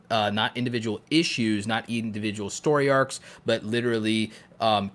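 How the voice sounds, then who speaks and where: A man with a fairly low voice chats casually as a podcast co-host. He explains his ideas with enthusiasm, working through them aloud point by point. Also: a bit bright. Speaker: podcast co-host and content creator. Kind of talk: casual podcast chat, giving advice.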